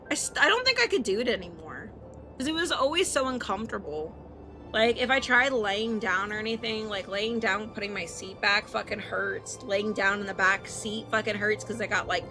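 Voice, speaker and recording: A woman live-streaming, reading a story aloud with casual, playful and sometimes profane asides. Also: medium pitch. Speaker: streamer hosting a visual novel read-aloud.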